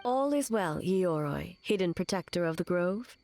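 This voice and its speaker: A woman voicing a composed, commanding female deity in an anime game, speaking formally and gravely. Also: on the low side, lively intonation, moderate pace, darkish tone. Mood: calm, authoritative.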